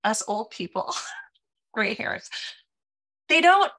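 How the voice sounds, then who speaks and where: A woman in her thirties, raised in Minnesota, chatting as a guest on a video-call podcast, animated and full of casual fillers. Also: fairly high pitch, slow. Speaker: podcast guest, sales executive. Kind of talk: casual interview chat. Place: home office, small room.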